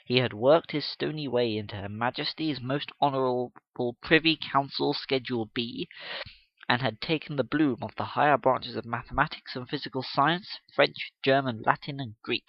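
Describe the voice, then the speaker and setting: A male amateur narrator reads classic fiction in a dramatic storytelling style. His pitch is middling, and the home recording is dry, close and a little dull. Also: normal speed, no echo. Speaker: amateur audiobook reader. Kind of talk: dramatic reading of a classic novel.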